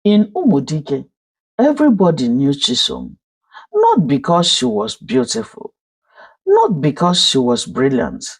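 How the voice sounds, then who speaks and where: A storyteller with a Nigerian accent narrating a folktale in an emphatic, theatrical manner with dramatic pauses. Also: medium pitch, wildly swooping pitch, breathy edge.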